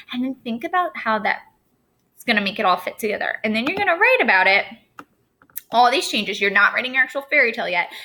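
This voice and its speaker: A woman's voice: fairly high pitch, bright, forceful tone. A young adult woman teacher explaining a writing lesson to her students step by step in an upbeat way. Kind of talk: recorded classroom lesson.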